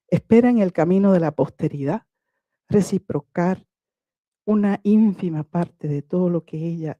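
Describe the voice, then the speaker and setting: Woman poet, reading a prepared talk, measured and lyrical, very dark mellow tone, dry close sound. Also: low-pitched, no echo, lo-fi sound. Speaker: poet presenting her book.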